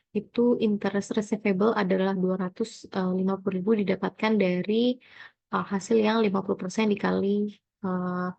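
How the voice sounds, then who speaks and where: Young woman, mid pitch, hesitant tutorial delivery, thinking aloud, dull lo-fi sound. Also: vocal fry, a little mellow, dry recording. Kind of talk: informal accounting tutorial walkthrough.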